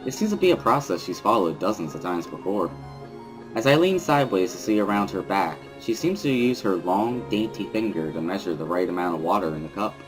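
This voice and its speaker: A man's voice: low voice, expressive, fairly quick, over music, low-quality recording. A man giving a dramatic first-person reading of a visual novel as its narrator.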